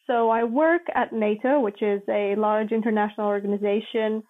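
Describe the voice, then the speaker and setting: A woman's voice: mid-range voice, fairly even intonation, moderate pace. Woman from Latvia on a tinny line. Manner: chatty, thinks aloud with small restarts. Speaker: guest. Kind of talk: casual interview. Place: home in lockdown. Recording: tinny phone-like call line.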